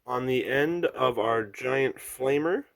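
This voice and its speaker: Enthusiastic hobbyist man, smooth dry voice. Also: medium pitch, takes it slowly, dry recording. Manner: rambling, filler-heavy, enthusiastic. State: losing his voice, a bit sick. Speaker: miniature hobby channel host. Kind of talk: casual show-and-tell walkthrough.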